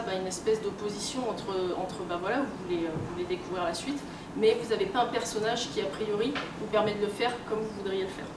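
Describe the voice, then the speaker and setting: A woman giving an informal conference lecture in a conversational, hesitant way. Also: mid-range voice. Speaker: conference speaker. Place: convention talk room. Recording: echoey room, distant mic, clear noise.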